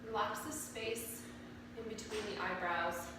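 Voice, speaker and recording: A woman with a cold and a slightly nasal voice, guiding a yoga class with calm, slow cues for poses and breathing. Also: medium pitch. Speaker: yoga instructor. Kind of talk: guided yoga class instruction. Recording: echoey room, mic at a distance, audible noise.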